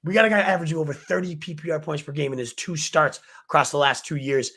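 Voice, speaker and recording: A man hosts a fantasy football livestream, his voice a little hoarse from a cold. He talks with excited, rapid-fire hype and sits a touch above the usual pitch for a man. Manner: excited, fast-talking hype. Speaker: fantasy football show host. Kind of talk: energetic livestream sports advice.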